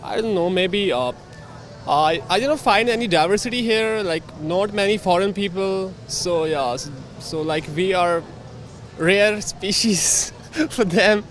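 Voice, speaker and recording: High-voiced man, hesitant, street. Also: measured pace, a bit bright, faint music behind. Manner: hesitant, with fillers. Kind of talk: casual street interview answers. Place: outdoors on a city street.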